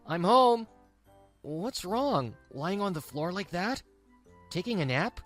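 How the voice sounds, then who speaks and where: A woman's voice: on the low side, big pitch swings, slow, soft background music, exaggerated voice. A woman voicing a selfish, dismissive young husband in a manga dub, whiny and condescending. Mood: demanding.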